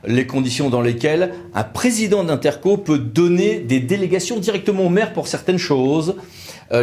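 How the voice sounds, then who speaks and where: A man works as presenter of a professional news review, speaking at a middle pitch. He explains in a didactic, emphatic manner and drops in conversational asides. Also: a little mellow. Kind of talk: brisk explanatory commentary with interview questions.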